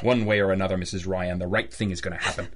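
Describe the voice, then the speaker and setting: An actor playing a stern, cynical private detective in an old-style radio drama, with clipped, wry, accusing delivery. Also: male voice, low voice, fairly even intonation, quick pace, fairly bright tone. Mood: firm, steady. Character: hard-boiled, cynical adult male private detective, stern and relentless.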